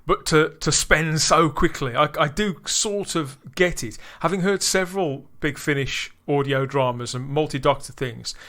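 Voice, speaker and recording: A man's voice: on the high side, moderate pace, few pauses, fairly bright tone. A man hosting a podcast, reviewing in casual chat, enthusiastic, with frequent restarts. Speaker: podcast host.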